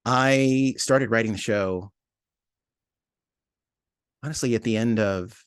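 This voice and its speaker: A man's voice: mid-range voice, slow pace, smooth, dry recording, studio quality. A man talking casually and reflectively as an interview guest, searching for his words with a hesitant, self-deprecating manner. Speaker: podcast writer and co-producer, interview guest.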